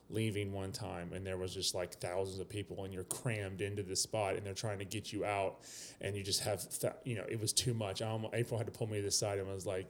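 A man hosting a casual interview, chatty and animated, quick to joke and laugh with his guest. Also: on the low side, a little hiss or hum.

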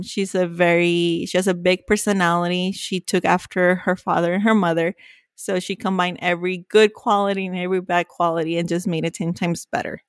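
Kobieta z Meksyku o suchym brzmieniu. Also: female voice, on the low side, no echo, studio quality. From Mexico. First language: Spanish. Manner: warm, chatty, self-joking. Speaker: podcast co-host. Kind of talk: casual podcast chat.

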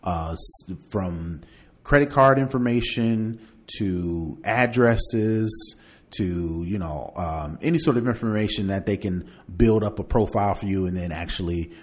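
A man's voice: deep voice, moderate pace. A man hosting a podcast, chatting casually through tech news and partly reading aloud, in a conversational way. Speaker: podcast host.

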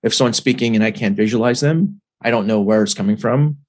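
A man hosting a podcast who talks in a lively, animated way. He often stops and restarts his sentences and scatters fillers through his talk, in a relaxed and conversational tone. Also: fairly low pitch, fast talker. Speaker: podcast host. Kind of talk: casual conversation.

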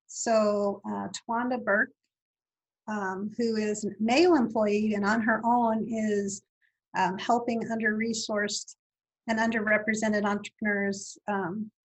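Husky woman, native Italian speaker, reflective podcast guest pausing on fillers. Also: fairly high pitch, a bit bright, low-quality recording. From Italy. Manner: thoughtful, with frequent fillers and restarts. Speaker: university guest. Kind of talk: podcast interview.

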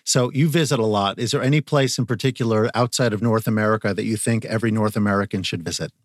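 A man's voice: fairly low pitch, quick pace, no room sound, clean studio sound. A middle-aged man hosting an interview podcast, encouraging and speaking with frequent fillers. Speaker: podcast host.

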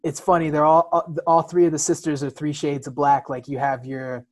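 A man's voice: a little high, flat delivery, normal speed. A man from the United States talking candidly about himself in a conversation, as a guest, sometimes repeating words as he searches for phrasing. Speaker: interview guest. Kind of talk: candid personal storytelling in conversation.

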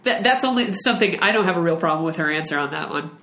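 A woman in her late twenties co-hosting a podcast in a loose, chatty manner, her voice sitting a little low. She often restarts and trails off her sentences. The room is echoey, so her voice rings around her. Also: lively intonation, fast, fairly bright tone. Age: late 20s. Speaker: podcast co-host. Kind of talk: casual chat.

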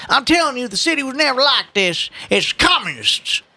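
A man's voice: very high-pitched, very animated, normal speed, sharp tone, dry recording, voice pushed up, heavily acted. A man voicing a cantankerous, defiant old grandfather in a storybook reading. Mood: cranky accusing.

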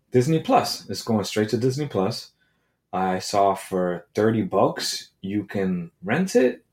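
A man's voice: fairly low pitch, darkish tone. A man ranting casually on his own, crude and sarcastic, swearing freely and going off on tangents. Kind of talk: casual solo rant.

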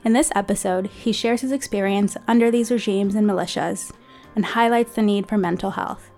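A woman's voice: mid-range voice, steady tone, normal speed, darkish tone, soft background music. A woman hosting a podcast, reading a scripted introduction and asking curious, conversational questions. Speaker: podcast host.

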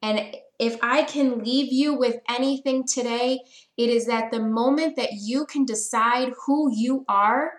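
A woman in her thirties talks through a motivational podcast in an earnest, encouraging way, leaning on repeated phrases for emphasis. Her voice sits a little high, and the recording carries a noticeable room echo. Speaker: podcast host.

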